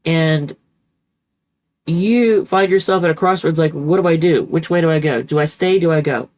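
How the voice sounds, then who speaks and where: A woman's voice: deep voice, normal speed, a little mellow. A woman with a congested voice from a cold, chatting loosely and rambling as she reads tarot cards. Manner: rambling, chatty, self-correcting with many ums. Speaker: tarot reader. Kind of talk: casual tarot reading.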